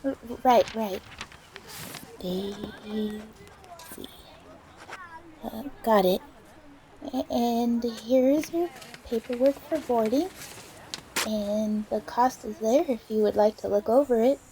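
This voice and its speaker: Flustered young charterer, acted by a woman: soft-toned female voice, slow halting delivery, timid stammer, audio roleplay. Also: a little high, a little hiss or hum, poor audio. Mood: nervous, businesslike. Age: young adult.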